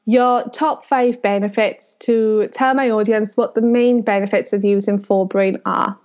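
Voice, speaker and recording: A woman's voice: mid-range voice, fairly even intonation, unhurried, darkish tone, muffled recording. A woman with a Welsh accent hosting an interview, affirming her guest's answers and asking long, framed questions. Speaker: interview host, works with children.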